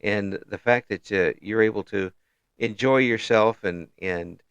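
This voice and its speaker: Low-voiced, halting male interview host. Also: vocal fry, a bit bright, smooth, no room sound, lo-fi sound. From Illinois, USA. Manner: halting, many restarts and chuckles. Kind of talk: casual online interview.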